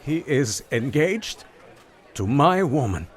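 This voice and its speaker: An actor playing a jealous, stubborn young suitor in an audio drama, brooding and intense. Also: male voice, medium pitch, very animated, slow pace, background noise. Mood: bitter.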